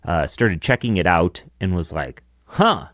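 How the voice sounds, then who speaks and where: A man in his thirties with a deep voice, co-hosting a podcast in casual chat from a small home studio on a close broadcast mic. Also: expressive, unhurried, a bit bright, dry recording. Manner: chatty, explaining his gear with casual asides. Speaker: podcast co-host. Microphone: close dynamic broadcast mic.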